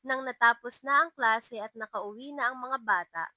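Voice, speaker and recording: Female storyteller for scripted love stories; higher-pitched, very bright and expressive delivery. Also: moderate pace, no room sound. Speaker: storyteller, narrator.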